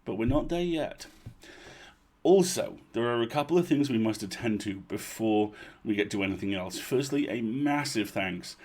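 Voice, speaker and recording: A man hosting a livestream with casual, chatty introductions and playful, animated asides. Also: mid-range voice, normal speed. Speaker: livestream host.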